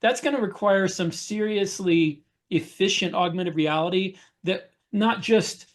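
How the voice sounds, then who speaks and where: A man co-hosting a podcast, explaining things in casual discussion with halting pauses mid-sentence. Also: high-pitched. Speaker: podcast co-host. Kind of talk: casual discussion of writing military science fiction.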